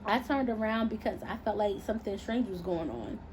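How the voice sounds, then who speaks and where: A woman reading her own novel aloud as expressive first-person narration. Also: medium pitch, a little fast, background noise.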